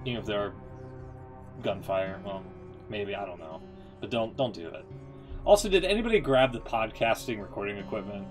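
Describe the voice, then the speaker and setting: A man's voice: lowish voice, expressive, fairly quick. A man giving casual gaming commentary as a streamer, in a rambling, hesitant way that often interrupts itself. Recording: music playing behind the voice.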